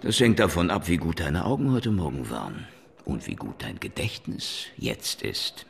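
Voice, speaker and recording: An actor playing a tough veteran cop in a radio crime drama, grilling a suspect in a dry, menacing way. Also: male voice, rumbling low, sing-song, moderate pace, gravelly, breathy edge, full character voice. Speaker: police detective. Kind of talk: radio play acting.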